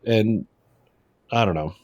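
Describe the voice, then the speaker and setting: A man's voice: fairly low pitch, slow pace, darkish tone, highs cut off. A man chatting casually and informally as a podcast co-host, readily giving his opinions.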